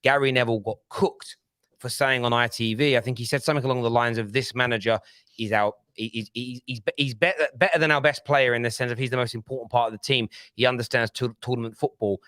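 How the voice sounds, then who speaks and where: A man's voice: mid-range voice, steady tone, quick pace, a bit bright, no room sound. A man presenting a football panel show in a studio, talking casually and insistently as he holds the floor.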